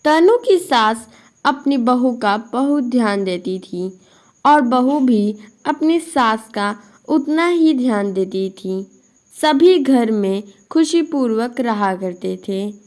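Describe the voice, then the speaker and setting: A woman narrating a scripted moral story for children. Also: on the high side.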